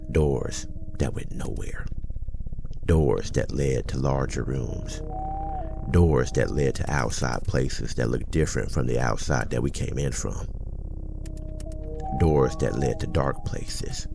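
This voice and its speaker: Man playing a very deep, gravelly old country storyteller. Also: heavily acted. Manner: slow, folksy, deliberate dramatic pauses. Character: older small-town man recounting an eerie secret past. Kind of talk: ASMR horror roleplay storytelling.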